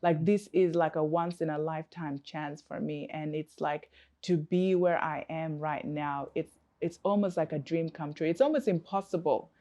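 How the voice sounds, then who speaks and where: A woman's voice: low voice, fairly even intonation, moderate pace, dark tone. A woman from Africa, a coach, answering interview questions in an earnest, reflective way with many fillers and restarts.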